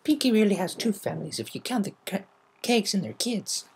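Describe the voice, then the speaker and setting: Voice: mid-range voice, big pitch swings, brisk, husky, voice pushed up, exaggerated voice. A performer voicing an earnest, concerned young female cartoon unicorn pony with a sensible manner. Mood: matter-of-fact.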